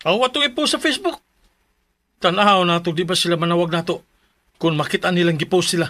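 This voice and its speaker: High-voiced bewildered Filipino groom-to-be. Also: male voice, fairly quick, sharp tone. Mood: concerned, practical. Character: bewildered young groom-to-be, trying to fix things. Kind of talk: Filipino radio drama acting.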